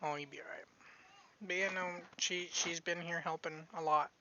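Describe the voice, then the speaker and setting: A man's voice: on the high side, fairly even intonation, normal speed, sharp tone, highs cut off. A man giving a casual update to his viewers after surgery, drowsy, rambling and restarting often, with a scratchy voice. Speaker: streamer giving a health update. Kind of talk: casual live-stream chat.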